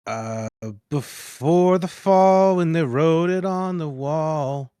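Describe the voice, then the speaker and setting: Man playing a guarded, hesitant recluse, unhurried. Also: on the high side, warm tone, clean tone, no echo, clean studio sound. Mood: wistful, halting singing. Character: reclusive, defensive ancient man hiding in a cave. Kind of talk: table read acting.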